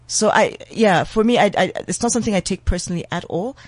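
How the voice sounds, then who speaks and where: A man, a guest on a talk show, arguing in an impassioned, emphatic way with quick bursts of speech. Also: high-pitched, no echo. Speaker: guest commentator.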